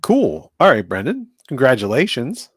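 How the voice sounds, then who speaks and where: A man's voice: mid-range voice, huge pitch swings, normal speed, breathy edge, no echo, studio quality. A man hosting a casual podcast chat from New Jersey, joking and rambling with lots of fillers. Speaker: host.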